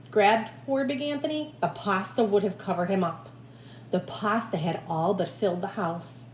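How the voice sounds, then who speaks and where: A woman teacher reading a picture book aloud in an animated way, with asides to young listeners. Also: mid-range voice. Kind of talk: expressive children's read-aloud with asides to listeners. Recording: echoey room with faint background noise.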